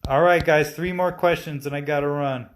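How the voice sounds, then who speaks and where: A man in his forties hosts a casual live Q&A about his animation work. His voice sits a little high for a man. He talks in a relaxed, rambling way and often restarts his sentences. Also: normal speed, a little hiss or hum. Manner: relaxed and chatty, with frequent fillers and restarts. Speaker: stop-motion animator answering live-stream questions. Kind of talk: casual live-stream Q&A, reading viewer comments aloud.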